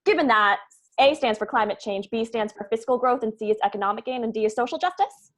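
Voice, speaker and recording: A woman's voice: a little high, expressive, fast talker. A woman playing a brainy, earnest young college student in a comedy table read. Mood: eager.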